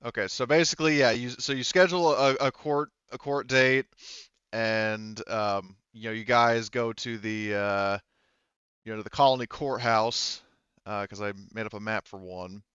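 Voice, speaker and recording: Male game master, smooth clear tone, informal stop-start narration, close dry online-call mic with slightly dull sound. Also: fairly low pitch, fairly even intonation, fairly bright tone, dry recording. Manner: halting, self-correcting, informal. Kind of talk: improvised narration of a tabletop game session.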